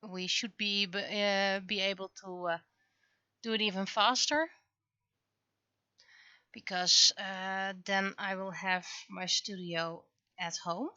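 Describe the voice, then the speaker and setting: Woman streamer thinking out loud, kinda halting, bright voice, slightly dull dry audio. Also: mid-range voice, fairly even intonation, smooth, no room sound. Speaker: livestreaming webcomic artist. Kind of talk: casual livestream chat.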